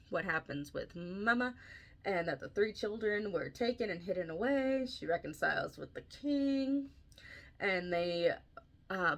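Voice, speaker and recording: A woman's voice: fairly high pitch, fairly bright tone. A woman hosting a podcast casually retells a fairy tale in an informal way, adding wry asides as she goes. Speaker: podcast host and author.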